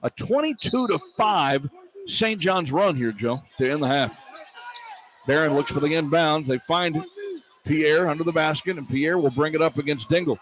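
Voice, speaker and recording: Over arena noise, a man with a fairly high voice calls basketball live for radio. He moves through the action in short clipped phrases, drawing out words on the big moments. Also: moderate pace. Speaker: radio play-by-play announcer. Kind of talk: live basketball play-by-play commentary. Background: arena ambience.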